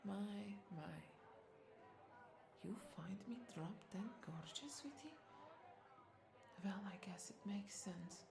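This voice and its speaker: Flirty, creaky-voiced vampire bartender played by a woman. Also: medium pitch, animated, takes it slowly, long pauses. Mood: flirty, teasing. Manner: flirtatious, teasing, breathy asides dropping into whispers. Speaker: audio roleplay voice performer. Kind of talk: intimate scripted audio roleplay.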